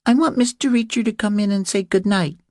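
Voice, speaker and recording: A man's voice: high-pitched, brisk, darkish tone, no room sound, studio quality, pitched above the performer's own voice, close to an everyday voice. A man voicing a sweet, insistent little girl with a childlike, pleading manner in an audiobook.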